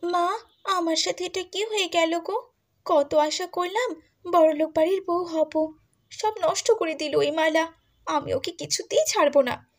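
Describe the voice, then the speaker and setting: A woman's voice: very high voice, measured pace. A woman playing an arrogant, jealous, spoiled young stepsister in a cartoon fairy tale, haughty and rude. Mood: bitter whining.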